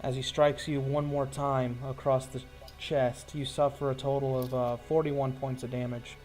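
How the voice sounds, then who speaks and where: A man's voice: medium pitch, flat delivery, normal speed, darkish tone, soft background music. A man narrating a tabletop roleplaying game as game master, telling the action in a casual, descriptive way.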